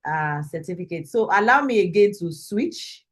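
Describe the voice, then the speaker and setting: A woman's voice: on the low side, lively intonation, moderate pace. A woman with a Nigerian accent presenting as a course instructor and host on a video call. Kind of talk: online presentation.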